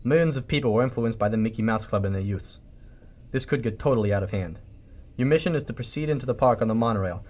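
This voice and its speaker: Guy acting as stern boss giving a mission briefing, medium pitch, grave and measured. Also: darkish tone, close to an everyday voice. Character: stern executive briefing a captain on a secret mission. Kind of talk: radio drama parody acting.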